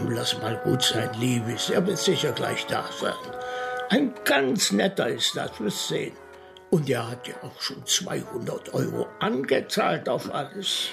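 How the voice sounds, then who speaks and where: Older husband, creaky and slow, bit grumbly, radio play with music behind. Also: male voice, mid-range voice, big pitch swings, full character voice. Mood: placating. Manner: unhurried, placating. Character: placating, slightly grumbling older husband named Alfred. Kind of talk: naturalistic German radio drama.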